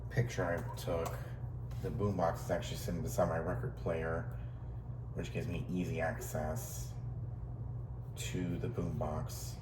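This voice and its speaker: Low, monotone man in his thirties, noisy recording. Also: a little slow, pauses often. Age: 30s. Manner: rambling, repetitive, matter-of-fact. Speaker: vlogger showing thrift store finds. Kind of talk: casual unscripted show-and-tell. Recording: clear steady background noise, amateur recording.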